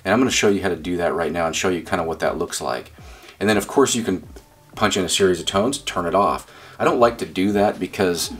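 A man's voice: low voice, fast, husky. A man giving a casual hands-on demonstration as a radio hobbyist, explaining informally and thinking aloud as he goes. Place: indoors at a desk.

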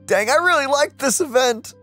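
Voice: high voice, expressive, normal speed, bright, forceful tone, soft background music. A young adult let's play co-host chatting casually and reacting to a game with slangy enthusiasm. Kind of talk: casual gaming chat and reactions.

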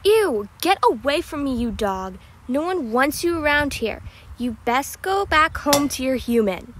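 A woman's voice: high-pitched, animated, unhurried, noisy background. A woman voicing a haughty, spiteful, vain animal with a scornful, snobbish manner, in an amateur cartoon audition. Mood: disgusted.

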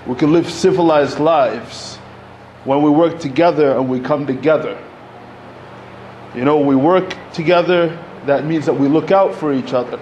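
A man in his thirties from Egypt speaks into a podium mic in a community center hall. His voice sits a little high, and he talks loosely and informally, stacking "you know" and restarts. A faint noise runs under the recording. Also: moderate pace, a little mellow, lo-fi sound. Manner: conversational, many fillers, gestures while speaking. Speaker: panel speaker. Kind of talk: public talk at a community event.

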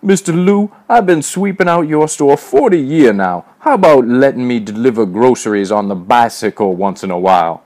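A man's voice: mid-range voice, normal speed, few pauses, darkish tone, voice pushed up, lightly acted. A man voicing a mocking caricature of a stooped old manservant, with exaggerated fawning and drawling dialect. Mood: fawning mockery. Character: shuffling old servile manservant, put on in mockery.

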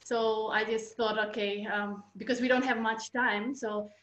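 A woman's voice: on the high side, steady tone, a bit bright. A woman in her fifties with a Fijian accent, sharing a personal story hesitantly in an informal online talk. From Fiji. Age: 50s. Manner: hesitant, halting, frequent pauses. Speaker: guest speaker, architecture researcher. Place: small indoor room at home. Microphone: computer mic on video call. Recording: roomy, echoey video-call sound.

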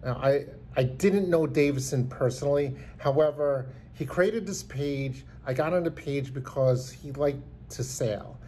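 A man's voice: fairly high pitch, unhurried, hardly any pauses, mellow, a little hiss or hum. A man in his fifties giving an earnest, rambling tribute to camera from a small indoor room, filmed close on a phone. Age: 50s. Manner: earnest, rambling, many restarts. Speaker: vlogger. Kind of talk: heartfelt tribute talk to camera. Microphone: phone camera held close.

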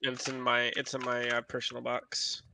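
A man's voice: medium pitch, monotone, fairly quick, vocal fry, pushed and bright, a little hiss or hum. A man chatting and joking with friends while playing a survival game online, laughing often. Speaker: gamer. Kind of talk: casual multiplayer game chat.

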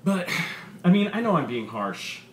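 A man's voice: high-pitched, sing-song, normal speed, darkish tone, pitched above the performer's own voice. A man voicing a bitter, sardonic robot stand-up comedian with a profane, punchy, menacing delivery. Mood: sardonic, taunting. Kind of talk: stand-up comedy routine in a short story reading. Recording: roomy bar with faint background noise.

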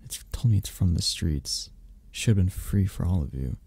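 Casting: male actor as a domineering mafia boss for ASMR roleplay, dark husky voice, flirty and patronizing. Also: sits low, expressive, moderate pace, no room sound, exaggerated voice. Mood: impatient, bargaining. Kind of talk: intimate ASMR roleplay acting.

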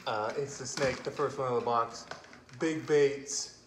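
A man's voice: medium pitch, steady tone, unhurried. A man in his forties, a fishing channel host, chatting playfully and joking with his kids while unboxing at a table indoors. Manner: cheerful, joking, laughs often. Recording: echoey room with background noise.

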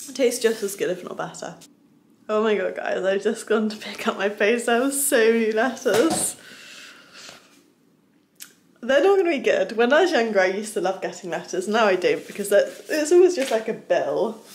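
A young woman vlogging about her day and workouts, chatting upbeat to a close phone camera, then calmly explaining each exercise in a voice-over. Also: medium pitch, normal speed, husky. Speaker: fitness vlogger. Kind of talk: casual vlog chat and workout voice-over.

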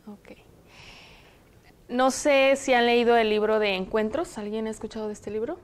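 A young woman from Zacatecas with a Mexican Spanish accent, hosting a studio discussion on a lapel mic in a TV studio, speaking in a measured, explanatory way. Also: a little high, clear, resonant tone. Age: young adult. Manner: measured, explanatory, reads passages aloud. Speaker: host. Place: television studio, indoors.

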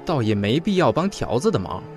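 An actor playing a cocky teenage student in a radio drama, sardonic and defiant. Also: male voice, medium pitch, big pitch swings, fairly quick, darkish tone, faint music behind.

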